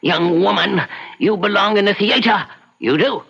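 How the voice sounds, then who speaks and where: An actor playing a suspicious, blustering colonial townsman in a radio drama, scolding indignantly in a raspy, creaky voice. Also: high-pitched, moderate pace, bright, forceful tone, heavily acted. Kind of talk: old-time radio drama acting. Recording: dull old radio recording.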